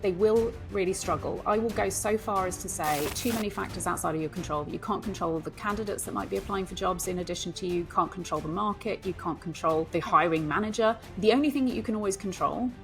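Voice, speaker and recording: A woman's voice: mid-range voice, fast talker, vocal fry, hardly any pauses, music in the background. A middle-aged woman who grew up in Hong Kong, a guest occupational psychologist, speaking frankly in a podcast interview with dry asides.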